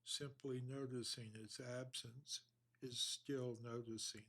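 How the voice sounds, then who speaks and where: A man guides a body scan meditation at a very slow pace. His mid-pitched voice stays level with little melodic movement. He gives each instruction in a measured, soothing way and leaves long pauses between phrases. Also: level tone, pushed and bright, husky.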